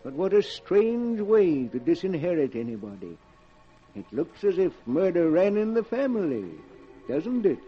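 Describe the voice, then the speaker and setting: An actor playing a sinister male keeper of a book of dark tales, narrating a 1940s radio horror show with slow relish. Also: high voice, sing-song, breathy edge, full character voice. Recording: dull 1940s radio recording with music.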